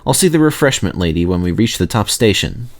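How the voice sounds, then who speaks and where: A man's voice: fairly low pitch, very animated, brisk. Plain-spoken engine driver played by a man. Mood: helpful. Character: working male engine driver, helpful but easily discouraged.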